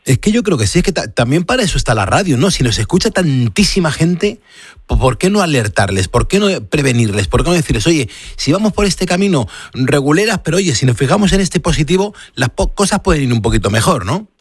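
A man's voice: fairly high pitch, sing-song, brisk, slightly rough, no room sound. A male late-night radio host with a Castilian Spanish accent. He speaks in a conversational way, addressing listeners directly as if keeping them company live on air. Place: radio studio.

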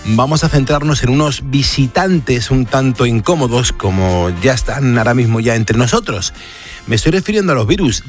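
Male night-radio presenter, Castilian Spanish, conversational, direct to listener. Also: medium pitch. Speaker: radio host. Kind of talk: late-night live radio talk. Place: radio studio.

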